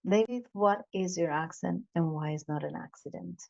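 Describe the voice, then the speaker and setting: A woman hosting a podcast interview, speaking deliberately with some fillers and repeats. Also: on the low side, gravelly, darkish tone. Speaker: podcast host. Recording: dull lo-fi sound.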